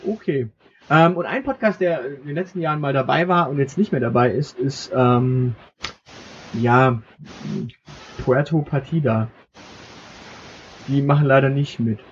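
A man co-hosting a casual podcast, talking in a wry, halting way with many fillers, and acting as game master for a short role-play game. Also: medium pitch, muffled recording, slight background noise.

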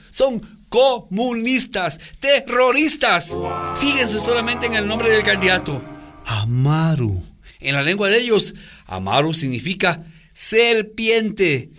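A man's voice: high-pitched, very animated, over music, heavily acted. A male actor playing a scornful, racist demagogue in a radio drama, mocking and rhetorical, drawing out his words. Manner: mocking, rhetorical, drawn-out emphasis. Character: sneering racist right-wing male opponent, scornful demagogue.

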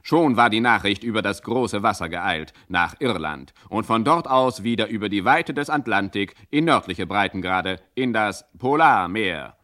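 A man's voice: fairly low pitch, lively intonation, normal speed, hardly any pauses, sharp tone. A man narrating a vintage comic radio play in a mock-dramatic scripted style.

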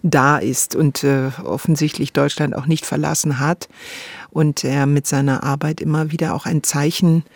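A woman's voice: deep voice, fairly even intonation, normal speed, no room sound. Woman hosting, dry studio sound. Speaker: radio host. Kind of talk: literary discussion. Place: radio studio.

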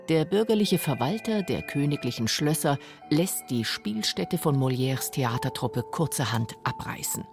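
A woman's voice: rumbling low, creaky. A woman narrating a scripted radio documentary.

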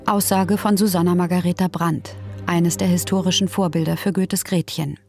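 An actress reading source citations as a sober documentary announcer in a radio drama. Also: female voice, on the low side, steady tone, normal speed, vocal fry, darkish tone, faint music behind. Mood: sober, informative.

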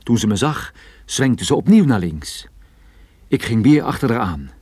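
An actor playing a cool private detective narrating his own case in measured radio play style. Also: medium pitch, sing-song, darkish tone, breathy edge, no room sound. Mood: measured, grave. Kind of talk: scripted first-person radio play narration.

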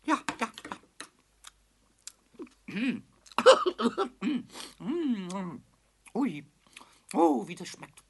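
A man playing a cheeky, childlike little kobold in a children's radio play, shrill and creaky, stammering and repeating words excitedly. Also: drawn-out words, long pauses, full character voice. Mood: blissful.

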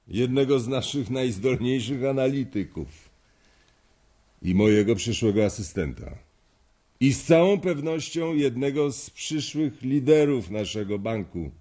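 An actor playing a domineering bank executive in a radio drama, brusque and commanding. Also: male voice, mid-range voice, warm tone. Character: domineering male bank executive nicknamed Piranha.

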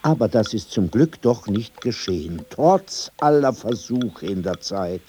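An actor playing a courteous, stubborn old survivor in a literary radio play, halting and repeating his phrases. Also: fairly low pitch, expressive, music in the background. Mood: haunted, insistent. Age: older.

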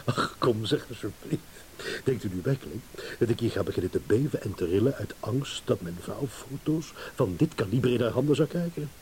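An actor playing a self-assured, impatient philandering husband in a radio play, sardonic, blunt and mocking. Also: male voice, medium pitch, animated, a little fast, darkish tone, natural voice. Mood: dismissive, confident. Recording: hissy old radio play recording.

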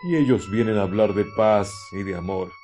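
A man's voice: fairly low pitch, moderate pace, close to an everyday voice. A man playing a bitter, ruthless Martian in a radio drama, speaking in a theatrical, brooding monologue. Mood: bitter, defiant. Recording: loud music under the voice.

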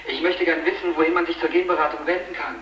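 A man's voice: a little high, fairly even intonation, fast talker, roomy sound, recorded from a distance, highs cut off. An actor playing a polite, nervous male phone-in caller, heard as a played-back radio call.

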